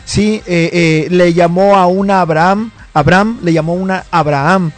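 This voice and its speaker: High-voiced earnest male pastor. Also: steady tone, normal speed. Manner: earnest, repeats words while building a point. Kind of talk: church talk program.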